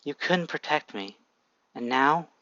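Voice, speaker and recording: A man voicing a bitter, masked young woman, speaking quietly in a flat, accusing way. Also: a little high, unhurried, no echo, muffled recording. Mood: cold.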